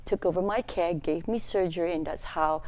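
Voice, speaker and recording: A woman's voice: lowish voice, moderate pace, a crowd in the background. A woman from Malaysia, a charity founder, telling her life story as a guest in a TV studio, laughing often. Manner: chatty, laughs often while telling stories. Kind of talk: casual interview storytelling. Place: local TV studio.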